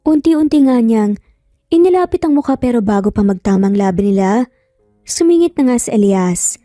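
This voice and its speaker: A woman's voice: fairly high pitch, expressive, normal speed, dark tone, no room sound, clean studio sound. A woman narrating a romance story, acting out the dialogue as she goes. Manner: acts out dialogue between narrated lines. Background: background music.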